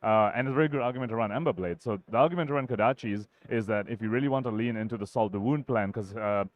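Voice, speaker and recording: A man's voice: fairly low pitch, fast talker, dry recording, dull audio. A man giving analytical live commentary as a caster at a card game tournament. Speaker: esports-style tournament commentator.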